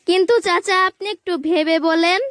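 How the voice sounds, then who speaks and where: Cartoon kid, extremely high voice, stubborn, cheeky, protesting, dry close sound. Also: female voice, fairly even intonation, moderate pace, fairly bright tone, dry recording. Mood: defensive. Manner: protesting, argumentative, colloquial. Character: stubborn, cheeky child who argues back. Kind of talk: cartoon voice acting.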